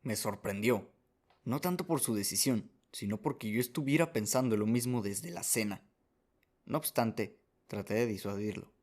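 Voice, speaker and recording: A man's voice: on the low side, normal speed, darkish tone. A man reading scripted first-person story narration as the narrator of a radio drama.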